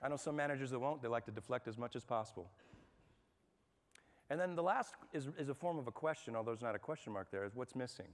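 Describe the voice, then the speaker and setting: A man with a middle-pitched voice leads a management workshop in front of a live audience. His talk is energetic and conversational, and he keeps putting questions to the room. His voice rings out with the long reverb of a large hall. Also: fast.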